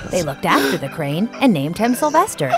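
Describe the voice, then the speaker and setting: A woman narrating a children's bedtime story in an expressive, clearly enunciated storytelling manner. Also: on the low side, moderate pace. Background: background music.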